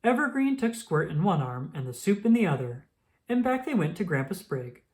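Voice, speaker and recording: A woman reading a children's book aloud as an expressive storyteller. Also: on the low side, moderate pace.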